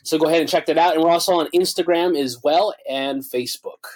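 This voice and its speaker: Guy hosting a wedding podcast, kinda high voice, pumped, says uh a ton. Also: quick pace, darkish tone, poor audio. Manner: enthusiastic, many filler uhs. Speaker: podcast host.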